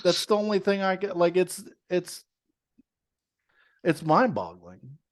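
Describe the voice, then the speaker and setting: A man's voice: sits high, very animated, takes it slowly. A man co-hosting a podcast in casual chat, ranting with profanity and disbelief. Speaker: podcast co-host.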